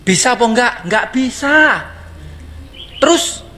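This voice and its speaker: Blunt Javanese farmer scolding, high voice. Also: male voice, animated, unhurried, sharp tone. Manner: blunt, mocking, rhetorical questions answered himself. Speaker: farmer in a reacted-to video clip. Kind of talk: blunt scolding monologue to a student activist.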